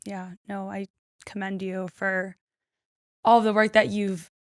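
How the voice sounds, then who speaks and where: Female podcast interviewer, mid-pitched, conversational and supportive, recorded very dry. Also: little pitch movement, takes it slowly, dry recording.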